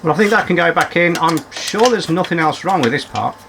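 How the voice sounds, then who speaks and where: A man's voice: on the high side, lively intonation, brisk, creaky, noisy background. A man giving unscripted running commentary as he works on a repair, musing aloud and putting questions to himself with dry, understated humour. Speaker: electronics repairer presenting a repair video.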